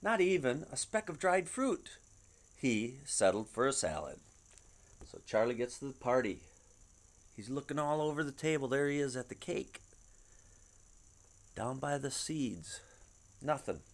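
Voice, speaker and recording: A middle-aged man reads a picture book to children from his living room. His mid-pitched voice moves up and down freely, with dramatic, expressive storytelling. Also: measured pace, long pauses. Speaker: storybook reader. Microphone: webcam at about arm's length.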